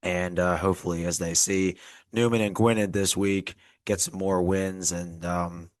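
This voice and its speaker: Low, flat-voiced man with a cold. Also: no room sound, clean studio sound. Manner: reads off stats in an even, measured way. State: getting over a cold. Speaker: podcast co-host. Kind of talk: casual sports recap chat.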